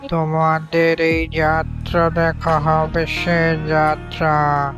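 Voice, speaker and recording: A man voicing a taunting ghost in a horror cartoon, luring travellers with drawn-out mock invitations. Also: fairly high pitch, monotone, over music. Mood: mock-inviting. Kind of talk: cartoon horror story acting.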